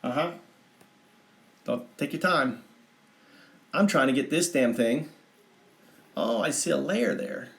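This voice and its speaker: A man's voice: mid-range voice, lively intonation, slow, vocal fry, long pauses. A man putting on an American Southern accent as a brash, folksy redneck livestream host who rambles, boasts and swears casually to his viewers. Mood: folksy, chatty. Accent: put-on American Southern. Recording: roomy, echoing livestream audio.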